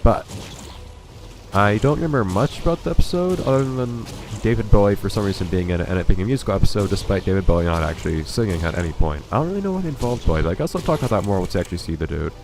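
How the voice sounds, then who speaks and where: A man's voice: lowish voice, lively intonation, racing, background noise. A man with a stuffy, congested voice giving dry, wry commentary while playing a video game. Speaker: let's play host. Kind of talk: casual gameplay commentary.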